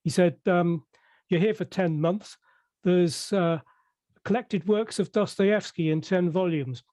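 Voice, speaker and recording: Older English man, interview guest, mellow voice on the high side, measured and halting, dry close sound. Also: no echo. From Merseyside, England. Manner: measured, hesitant with many fillers and restarts. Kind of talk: conversational interview.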